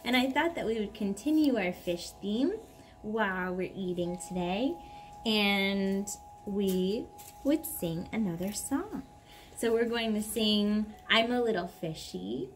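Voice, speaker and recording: A young woman speaking to a small child in a gentle, drawn-out way, as in a story time for toddlers. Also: medium pitch, animated. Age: young adult. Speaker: parent reading to a toddler. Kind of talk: singing and story reading for toddlers. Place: covered backyard patio, outdoors. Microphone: camera at about arm's length. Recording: clear background noise outdoors.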